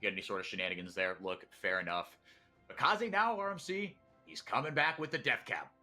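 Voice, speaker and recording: A man born in Brazil casts a live esports match play-by-play, his voice bright and pushed forward. He talks loosely and restarts his sentences in the quiet moments, then swells into excited shouts when a teamfight hits. Faint music plays under the broadcast. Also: lowish voice, a little fast. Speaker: play-by-play caster.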